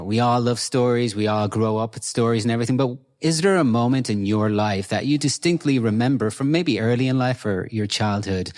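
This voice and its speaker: A man from Ireland hosting an interview podcast, chatty and hesitant with many fillers. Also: mid-range voice.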